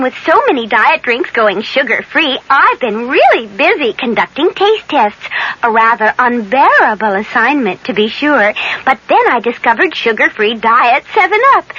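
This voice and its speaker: Chatty fairy tale gal selling stuff, voice swoops all over, muffled old radio ad. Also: female voice, sits high, pushed and bright, exaggerated voice. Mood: delighted. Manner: breezy, theatrical, swooping. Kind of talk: comic character radio commercial. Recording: muffled vintage radio commercial.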